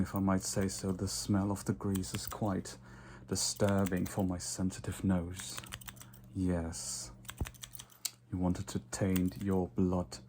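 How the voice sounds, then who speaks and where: A man playing a casual, fussy, slightly snobbish vampire barber in an ASMR roleplay, murmuring softly and drifting into whispers. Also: low-pitched, noisy background. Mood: mildly snobbish. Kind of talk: ASMR roleplay, soft close personal attention.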